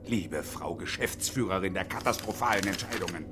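A man's voice: sits low, brisk, background noise, natural voice. An actor playing a bitter, stubborn unemployed engineer in his fifties, sardonic and pointed, in a German radio drama. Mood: bitter, needling. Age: middle-aged.

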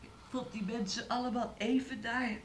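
A woman's voice: on the high side, flat delivery, normal speed, close to an everyday voice. An actress in a radio play, playing a frail, sick, submissive woman with a hesitant, childlike plaintive manner. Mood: wounded, submissive. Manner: hesitant, plaintive, childlike third-person speech.

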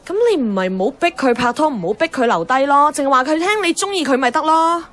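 A woman's voice: high-pitched, fast, fairly bright tone. An actress playing an outspoken young woman in a Hong Kong Cantonese radio drama, blunt and teasing, moving between dialogue and inner narration. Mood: urging, exasperated. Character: outspoken, headstrong young woman, a radio host chasing her dream. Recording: music under the voice.

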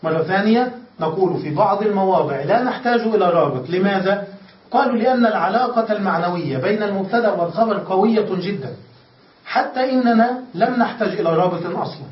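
High-voiced man teaching in an echoey room. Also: lively intonation, fast. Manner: methodical, often checks if students follow; repeats and restates points. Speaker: grammar teacher. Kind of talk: classroom lesson. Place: lesson room with students. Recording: echoey room, distant lo-fi mic.

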